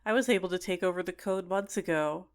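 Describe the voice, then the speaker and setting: A woman's voice: fairly low pitch, fast, lightly acted. A woman playing an obsessive, sentient dating-sim character in an ASMR roleplay, clingy and coaxing with menacing sweetness. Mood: smug, menacing. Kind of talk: scripted ASMR roleplay monologue.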